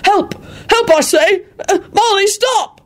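A woman's voice: very high voice, takes it slowly, voice pushed up. A woman voicing a bumbling middle-aged wizard man, stammering and flustered. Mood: frantic.

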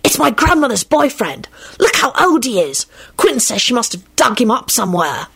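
A raised, lively boy's voice from a storybook reading, put on by a woman. He is about thirteen, sulky and squeamish, and hisses confidingly in a half whisper. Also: on the high side, normal speed, vocal fry, breathy edge, voice pushed up, heavily acted. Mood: gossipy hiss. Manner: hissing, whispery. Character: sulky, whispering thirteen-year-old boy, easily disgusted.

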